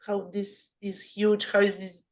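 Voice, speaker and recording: A woman's voice: medium pitch, level tone. Serbian lady hosting a podcast chat, english is her second language, lots of restarts and repeats. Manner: halting, many restarts and repeated words. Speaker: podcast host. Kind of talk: casual interview chat.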